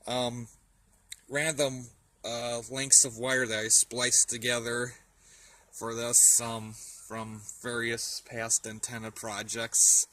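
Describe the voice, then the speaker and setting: A man giving a casual, unscripted show-and-tell as a vlogger outdoors, speaking in a halting way as he thinks aloud. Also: medium pitch, level tone, bright, forceful tone, poor audio. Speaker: hobbyist vlogger. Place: outdoors in a yard in winter. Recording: outdoor camera audio with faint background noise.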